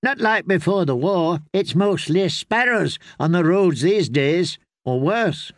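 A man's voice: high voice, lively intonation, slow, no echo, studio quality, voice pushed up. A man voicing a weary, wary ten-year-old innkeeper girl in a storybook, with blunt, questioning speech.

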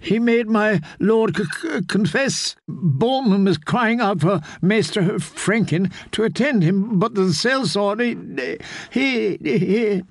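A man's voice: high voice, animated, slow, no room sound, clean studio sound, pitched above the performer's own voice, exaggerated voice. A man doing a sobbing, stammering noblewoman. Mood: tearful, stammering. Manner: stammering, quavering. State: crying.

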